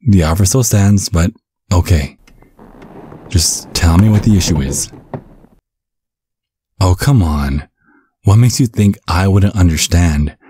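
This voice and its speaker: A man playing a caring, bumbling vampire father in an ASMR roleplay, speaking gently with a stammering, reassuring manner. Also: low voice, expressive, slow pace, pauses often, slightly rough, no room sound, heavily acted. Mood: soothing. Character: caring, bumbling vampire father, looks twenty-five, over a century old.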